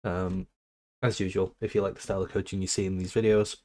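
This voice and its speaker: A man's voice: sits low, level tone, fast talker, darkish tone. A British man coaching over a call, a little sick and slightly nasal, explaining his plan step by step.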